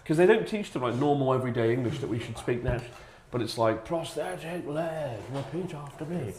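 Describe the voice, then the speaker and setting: A man telling a casual comic anecdote to friends in an animated, sardonic way, acting out quotes. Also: mid-range voice, a little fast. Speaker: storyteller, former English teacher. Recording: roomy echo with clear background noise.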